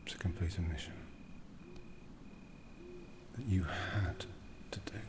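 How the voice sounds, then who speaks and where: A man voicing an ancient, aristocratic vampire lord in a breathy half-whisper for an ASMR roleplay, menacing, world-weary and condescending, with deliberate theatrical phrasing. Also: deep voice, noisy background. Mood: brooding, weary.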